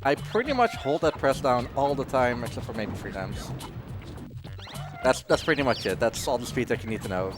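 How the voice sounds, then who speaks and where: A man's voice: mid-range voice, animated, moderate pace, over music. A man giving casual, joking live commentary as a speedrunner, chatty throughout.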